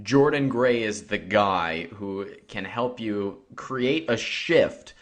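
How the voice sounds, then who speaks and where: Upbeat low-voiced male podcast host. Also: animated, takes it slowly. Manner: upbeat, motivational, laughs easily. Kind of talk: scripted intro, then interview questions.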